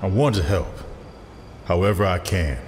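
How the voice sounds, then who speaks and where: An actor playing an earnest, ambitious district attorney in an audio drama, with a measured, persuasive way of talking. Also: male voice, low-pitched, darkish tone, a little hiss or hum, close to an everyday voice. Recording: long reverb, music underneath.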